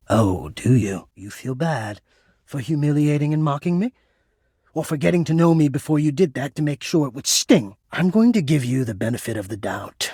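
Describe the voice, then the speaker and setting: Here is a proud, easily wounded male spirit of a home's heating and cooling, made for a video game. The voice is husky and swings widely in pitch. The diction is florid and formal, with old-fashioned theatrical flair. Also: a little mellow, dry recording, studio quality. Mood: hurt, indignant. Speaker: voice actor. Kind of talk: video game character acting.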